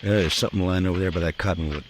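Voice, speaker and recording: An actor playing a calm, stern frontier marshal in an old radio western, speaking in a terse, authoritative way. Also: male voice, low-pitched, racing, background noise, lightly acted. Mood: grave, soothing. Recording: vintage radio recording, faint music.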